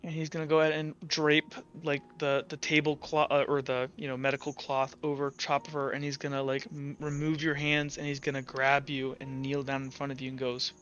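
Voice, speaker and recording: A man's voice: fairly high pitch, level tone, a little fast, hardly any pauses, fairly bright tone, lo-fi sound. A man narrating an improvised tabletop roleplay as game master. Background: music.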